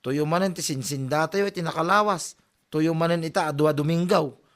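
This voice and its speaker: A man's voice: a little high, fairly quick, noisy background, lightly acted. A man playing a nagging, teasing young son in a comedy drama, exasperated. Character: nagging, teasing young Ilocano son scolding his mother. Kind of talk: Filipino comedy audio drama.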